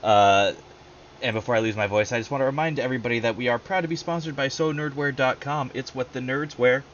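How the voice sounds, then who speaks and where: A man hosting a tabletop game stream as game master, losing his voice, running the questions briskly. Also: medium pitch, fairly even intonation, highs cut off, a little hiss or hum. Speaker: game master and show host. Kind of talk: casual post-game chat.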